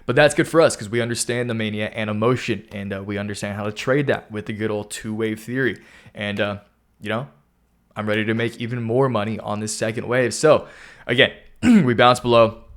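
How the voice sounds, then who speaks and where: A young man with a fairly low voice, hoarse and losing it, who keeps stopping to clear his throat. He talks through market charts in a casual, pumped-up way, speaking into a close mic. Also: fairly even intonation, a little fast. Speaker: stock market video host. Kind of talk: casual chart analysis talk.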